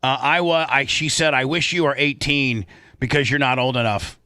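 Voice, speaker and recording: American radio host guy, bright punchy voice, dry close studio sound, stumbles reading stuff and jokes around. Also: medium pitch, steady tone, normal speed, clear, resonant tone, no echo. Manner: stumbles and repeats words when reading. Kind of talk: comic talk radio banter, reading news aloud.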